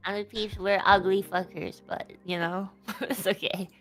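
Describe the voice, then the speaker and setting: A woman's voice: medium pitch, fairly even intonation, measured pace. Giggly awkward woman chatting. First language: Chinese. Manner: giggly, awkward, warmly complimenting. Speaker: guest streamer. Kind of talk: casual chat on a stream.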